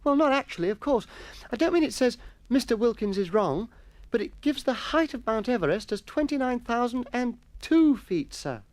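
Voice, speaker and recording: An eager, cheeky schoolboy, as a male narrator puts him on, earnest and breathless, his voice pitched high above a grown man's. He pleads with his teacher in a storybook reading. The sound is close and dry. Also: sing-song, normal speed, a little mellow, no room sound. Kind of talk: audiobook character voice.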